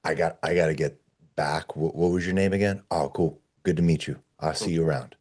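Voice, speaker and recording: A man with a very low, mellow voice coaches someone through a casual conversation. He sounds dark-toned and relaxed, and phrases his advice informally and plainly. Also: a little fast, husky. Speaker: discussion leader giving advice.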